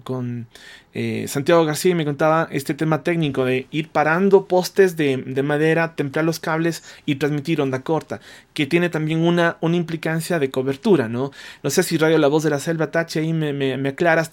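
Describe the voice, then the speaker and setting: Male interviewer, slightly high-pitched, casual and conversational, with meandering, hesitant phrasing. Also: steady tone, a little fast.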